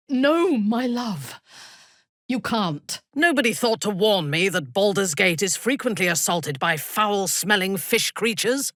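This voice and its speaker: Husky, imperious dark elf noblewoman. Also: sits low, dry recording, studio quality, heavily acted. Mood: tender, then haughty disdain. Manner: commanding, deliberate, formal and haughty. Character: imperious, ruthless dark elf noblewoman warrior, coldly devoted. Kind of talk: dramatic fantasy video game acting.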